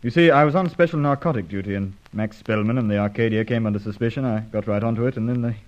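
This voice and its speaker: A man's voice: mid-range voice, fast talker, close to an everyday voice. An actor playing an earnest undercover police officer in an old radio serial, hesitant and puzzled as he talks. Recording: dull, lo-fi old radio recording.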